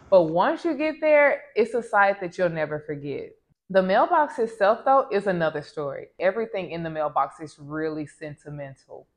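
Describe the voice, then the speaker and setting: Chatty woman vlogging from home. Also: sits low. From American South. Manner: chatty, direct to camera. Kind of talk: casual travel vlog. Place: small home room with plants. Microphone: lapel.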